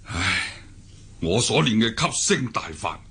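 An actor playing a proud, brooding older deposed martial-arts cult leader in a Hong Kong Cantonese radio drama, declaiming and sighing theatrically. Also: male voice, on the low side, sing-song, a little slow, pushed and bright, slight background noise, heavily acted. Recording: music bed behind the voice.

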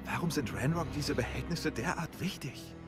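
An actor playing a calm, inquisitive young male wizard student in a German game dub. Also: fairly high pitch, moderate pace, natural voice. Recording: game audio with music behind.

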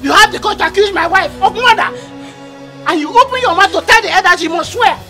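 An actor with a Nigerian accent playing an angry husband who threatens and scolds. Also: male voice, extremely high pitch, very animated, fairly quick, bright, forceful tone, faint music behind, exaggerated voice. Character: angry husband defending his wife.

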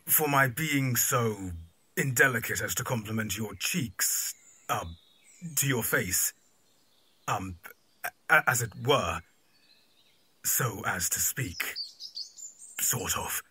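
A man's voice: lowish voice, lively intonation, slow pace, long pauses, pushed and bright, slightly rough, heavily acted. A man acting a courteous, bashful young music teacher in an audio drama, formal and hesitant, with birdsong behind. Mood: flustered.

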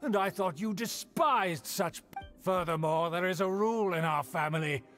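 Pompous, high-voiced villainous nobleman. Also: normal speed, fairly bright tone, faint music behind. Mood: indignant, commanding. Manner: haughty, grandiose, theatrical. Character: pompous, scheming aristocratic uncle, indignant villain. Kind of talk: video game voice acting.

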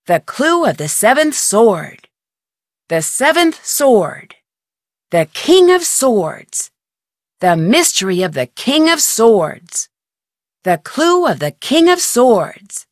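An actress voicing a curious young woman detective in a video game, talking to herself in a brisk, wry way. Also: fairly high pitch, no echo. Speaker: game protagonist. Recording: dry studio voice-over.

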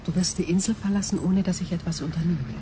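A woman playing a gentle, ancient, lonely alien crystal being in a sci-fi radio drama, speaking in slow, solemn, wistful tones. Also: lowish voice, noisy background, natural voice. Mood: sad, resigned.

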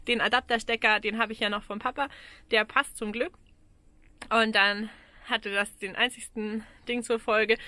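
Austrian woman in her 40s vlogging from her camper van, slightly high voice, lots of ums, action cam at arm's length. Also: bright, forceful tone, dry recording. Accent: Austrian German. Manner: chatty, frank, with frequent ums and small laughs. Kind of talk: casual vlog walkthrough. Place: in and around a camper van outdoors. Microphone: action camera held at arm's length.

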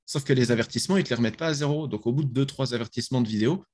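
A young man from France talks about his app in a relaxed, slangy way, often restarting his sentences. His voice sits at a middle pitch. He is heard through a headset from a small bedroom. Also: racing. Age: young adult. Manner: casual, slangy, many restarts. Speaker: app founder guest. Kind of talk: casual podcast interview. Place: small bedroom with gaming chair.

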